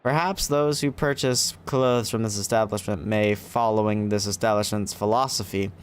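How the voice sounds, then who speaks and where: A man's voice: fairly low pitch, steady tone, normal speed, clean tone. A man livestreaming a video game, reading its text aloud in a theatrical way. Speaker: gaming livestreamer.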